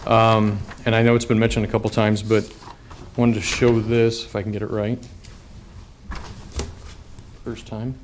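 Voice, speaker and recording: A man's voice: on the low side, little pitch movement, unhurried, long pauses. A man testifying as a union business manager at a county board meeting, speaking plainly with some hesitation. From Nebraska. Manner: plain, a little hesitant, with fillers. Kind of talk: public testimony. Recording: public meeting broadcast, faint background noise.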